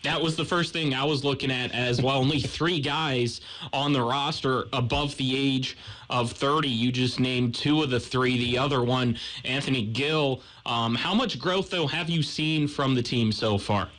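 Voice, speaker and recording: A man's voice: medium pitch, normal speed, a bit bright, poor audio. An American man interviewing a guest conversationally as a radio sports show host.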